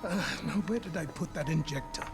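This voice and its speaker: Casting: male actor for obsessive game scientist, middle-aged man, high-pitched, absent-minded, precise and halting, music underneath. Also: natural voice. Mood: earnest, distracted. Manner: distracted, halting, precise explanations. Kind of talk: video game cutscene acting.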